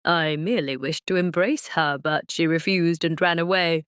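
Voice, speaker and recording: A woman's voice: low-pitched, normal speed, fairly bright tone, clean tone, dry recording, clean studio sound. A woman voicing a teasing, smug male duke in an audiobook romance, formal and playfully indignant. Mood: mock innocent. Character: teasing, smug duke, a man feigning innocence.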